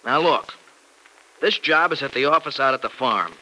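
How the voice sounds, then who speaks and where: An actor playing an earnest, plain-spoken young farmer with folksy, insistent delivery in an old-time radio soap. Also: male voice, mid-range voice, lively intonation, moderate pace, sharp tone. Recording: noisy vintage radio recording.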